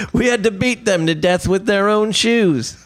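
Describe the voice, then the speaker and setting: A man's voice: sits high, slow. A man co-hosting a podcast live in a coffee shop, joking and swearing, laughing often. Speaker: podcast co-host. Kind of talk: casual live podcast banter.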